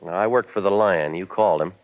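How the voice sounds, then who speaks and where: An actor in 1940s radio drama playing a cynical, wisecracking private eye, terse and deadpan in narration and dialogue. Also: male voice, sits low, fast, no echo, close to an everyday voice. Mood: terse, needling. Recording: muffled vintage 1940s radio with music.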